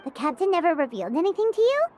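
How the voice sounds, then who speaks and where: Childlike female fairy sidekick, very high squeak, animated swings, excitable, video game. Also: racing, slight background noise. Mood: curious, surprised. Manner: bubbly, refers to herself by name. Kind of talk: English video game character acting.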